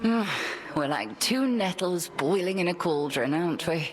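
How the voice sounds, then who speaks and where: A woman's voice: fairly low pitch, animated, measured pace, slight background noise. A woman voicing a stern older witch headmistress with a dry, teasing wit in a studio game. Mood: measured. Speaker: game character.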